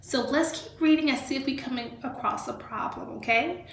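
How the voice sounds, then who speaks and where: A woman's voice: sits high, slight background noise. A woman teacher reading a story aloud to children expressively, with direct teaching asides. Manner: deliberate, animated storybook delivery, addressing children directly. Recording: echoey room.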